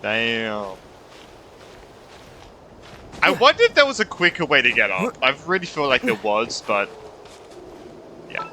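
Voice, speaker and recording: A man's voice: mid-range voice, very animated, measured pace, pauses often, sharp tone, a little hiss or hum. A young man giving casual, slangy reaction commentary over a game, often exclaiming and trailing off mid-thought. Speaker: gaming streamer. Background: game music.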